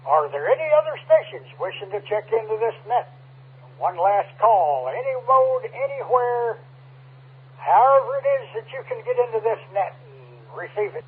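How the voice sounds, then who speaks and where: A man's voice: high voice, sing-song, moderate pace, breathy edge. A man running an amateur radio check-in net as net controller, courteous and folksy, repeating himself, over radio. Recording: tinny narrow-band ham radio transmission.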